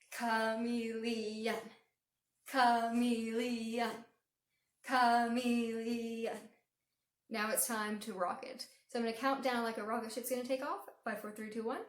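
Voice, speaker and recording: A woman hosting an interactive storytime for young children, enunciating in an animated, exaggerated way in an indoor room. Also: on the high side. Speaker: children's librarian, storytime host. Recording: echoey room.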